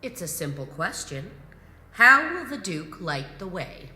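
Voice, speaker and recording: An actress playing a composed, commanding imperial grand marshal in a sci-fi audio drama, measured and probing. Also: female voice, lowish voice, big pitch swings, bright, forceful tone, full character voice. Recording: echoey hall reverb, music underneath.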